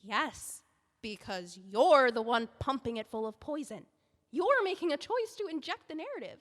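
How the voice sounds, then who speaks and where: An actress playing a young intern editor who pleads earnestly and emotionally, rushing between thoughts. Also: female voice, high voice, sing-song, big-room sound. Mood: firm. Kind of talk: audio drama acting.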